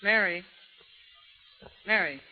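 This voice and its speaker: A woman's voice: mid-range voice, takes it slowly, lightly acted. An actress playing a tough, slangy female convict who shares a cell, in a vintage radio drama. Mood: gruff. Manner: hardboiled slangy, clipped. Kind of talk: 1940s radio acting. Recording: dull lo-fi old radio transcription.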